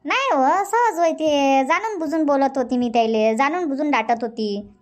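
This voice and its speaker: A woman voices a bickering, loving village wife for a comedy cartoon. Her voice is high, her Marathi is rural Vidarbha, and she talks in an emphatic, nagging, chatty way. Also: normal speed, a little mellow, close to an everyday voice. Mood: chatty, explaining. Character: bickering but loving Indian village wife and mother-in-law. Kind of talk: cartoon comedy dub dialogue.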